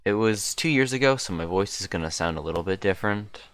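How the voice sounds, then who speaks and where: A man's voice: lowish voice, animated, quick pace, a bit bright, slight background noise. A young man casually rambling as he rewatches and comments on an old stream of his. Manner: rambling, self-deprecating, chuckling often.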